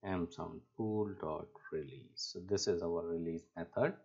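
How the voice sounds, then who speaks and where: A man giving an unscripted coding tutorial as an instructor, explaining step by step in a halting way, on a dull lo-fi microphone. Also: low voice, gravelly, warm tone, breathy edge. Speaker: programming instructor.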